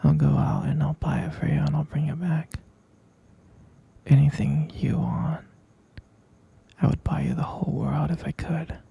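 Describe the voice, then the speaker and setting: A very husky, dark whisper from a possessive boyfriend acted out by a man in an ASMR roleplay. He coos and coaxes with slow, halting menace, his breathy voice heavy with gravelly creak. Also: on the high side, level tone, heavily acted. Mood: devoted, menacing. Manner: cooing, coaxing, slow and halting. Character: obsessive possessive boyfriend captor, sweetly menacing.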